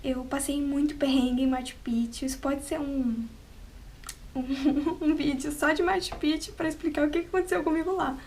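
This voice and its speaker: A woman's voice: high voice, fairly even intonation, moderate pace, darkish tone. A woman telling a travel story to the camera in an unscripted, chatty way, often laughing and tagging on "né". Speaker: travel vlogger. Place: at home, with cats nearby.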